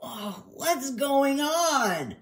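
A woman's voice: high voice, very animated, takes it slowly, pitched above the performer's own voice, full character voice. A woman voicing an injured male boxer dog in a storybook, groggy and grumbling, with a groaning delivery. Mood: groggy, pained.

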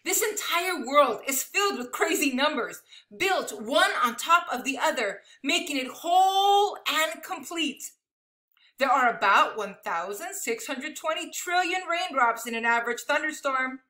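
High, bright-voiced woman reading to children. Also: unhurried. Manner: expressive, addressing young listeners directly. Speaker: elementary school teacher reading a picture book aloud. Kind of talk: children's storybook read-aloud with chatty asides. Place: living room at home, indoors. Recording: echoey home room.

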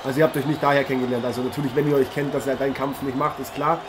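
A man playing an eager, chatty boxing commentator and interviewer in an improvised radio play, polite and halting. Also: medium pitch, flat delivery, a crowd in the background, close to an everyday voice. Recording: noisy, with arena ambience behind.

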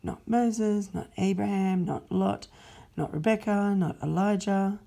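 A woman voicing Satan, a cunning fallen angel who sneers and turns shrill and desperate. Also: steady tone, a little slow, gravelly, warm tone. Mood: sneering.

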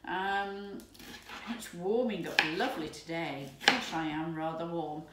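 A woman in her fifties chatting excitedly to camera while cooking in her home kitchen. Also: lowish voice, unhurried. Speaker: lifestyle vlogger. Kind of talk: casual chat to camera. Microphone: camera's built-in mic from a few steps away. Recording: echoey room, distant mic, background noise.

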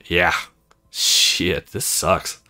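A man's voice: deep voice, little pitch movement, very slow, pushed and bright, voice pushed down, exaggerated voice. A man reading the part of an easygoing, eager young male student in a visual novel, casual and earnest. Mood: sympathetic. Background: music.

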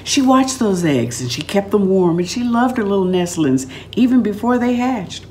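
An older woman reading a story aloud in an expressive, dramatic and deliberate storytelling manner. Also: medium pitch, a little hiss or hum. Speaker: author reading aloud from own book. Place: room at home, indoors.

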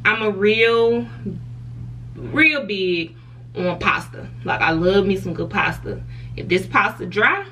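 A woman's voice: mid-range voice, lively intonation, slow pace, sharp tone, far from the mic, a little hiss or hum, poor audio. A young woman, talking frankly to camera in a hotel room, laughing often. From Southern US. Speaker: vlogger. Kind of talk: casual chat to camera.

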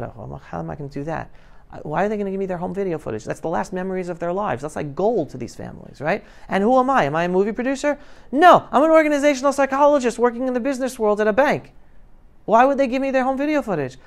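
Creaky-voiced middle-aged man telling stories. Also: on the high side. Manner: emphatic, colloquial, quoting conversations dramatically. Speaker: guest speaker, filmmaker telling his story. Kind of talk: animated personal storytelling talk to students. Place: school classroom, indoors. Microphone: clip-on lapel mic.

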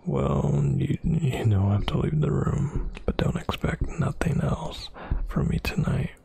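A man playing a brooding, dominant vampire boyfriend in an ASMR roleplay, scolding haltingly in a husky, breathy near-whisper. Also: medium pitch, mellow. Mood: exasperated.

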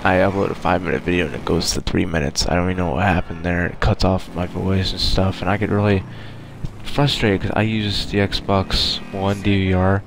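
A young man with a nasal voice, sounding sick and tired, talking quietly in a casual gaming voice-over. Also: low-pitched, level tone, brisk, creaky, background noise. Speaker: gaming YouTuber. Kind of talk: casual gameplay voice-over commentary.

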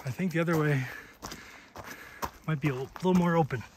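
A man's voice: a little high, normal speed, noisy background. Chatty man vlogging on a trail. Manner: chatty, laughs often, hushed when quiet. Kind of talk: casual hiking vlog. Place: outdoors on a mountain trail. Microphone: handheld camera.

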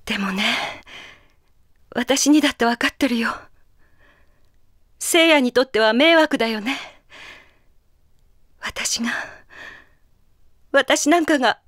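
A woman's voice: sits high, a bit bright, no echo, studio quality. An actress playing a proud, fierce young female warrior with hidden tenderness in an anime video game, tough and commanding in manner. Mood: tender, sorrowful. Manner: tough, commanding, rough-spoken. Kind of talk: Japanese anime game voice acting.

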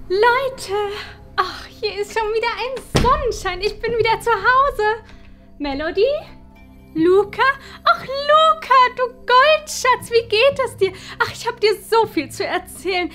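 A young woman playing a gushing, self-absorbed esoteric flatmate, effusive, theatrical and rambling. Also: very high voice, unhurried, music in the background. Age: young adult. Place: furnished living room indoors.

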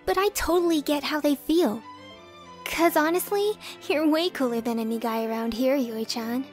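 A woman's voice: high voice, normal speed, natural voice. A woman voicing an admiring, dreamy teenage schoolgirl in a manga dub, girlish and gushing. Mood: fond, teasing. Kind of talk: manga fandub acting. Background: music.

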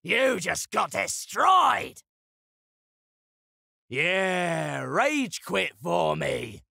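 A man voicing a cocky, brash caveman brawler for a video game, taunting in a gravelly, shouty way. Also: sits high, sing-song, extremely slow, no room sound, studio quality, full character voice. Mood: smug taunting. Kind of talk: video game character voice lines.

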